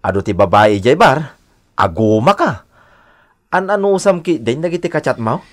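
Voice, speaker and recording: Casting: rowdy, ribbing barkada pal for radio drama played by a man, Filipino accent, very animated pitch. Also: normal speed, dry recording, clean studio sound, natural voice. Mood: teasing, urging. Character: teasing, boisterous drinking buddy. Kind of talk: Ilocano radio drama acting.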